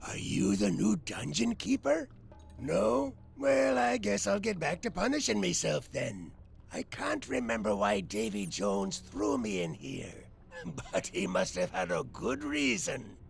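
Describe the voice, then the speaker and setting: An actor voicing a forgetful, guilt-ridden prisoner of a pirate in a video game, theatrical and rambling. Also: male voice, high-pitched, wildly swooping pitch, measured pace, vocal fry, exaggerated voice. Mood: manic, cheerful. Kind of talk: video game character acting.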